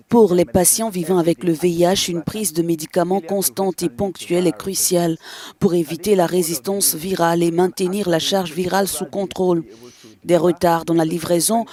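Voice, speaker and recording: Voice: medium pitch, mellow. A narrator reading scripted voice-over for television news reports.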